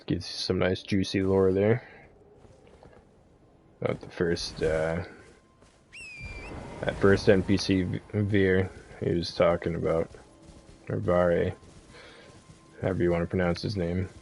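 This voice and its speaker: A man's voice: low voice, level tone, a little slow, creaky, pauses often, a little mellow, slight background noise. A man giving a casual, laid-back, rambling walkthrough of a video game as a streamer.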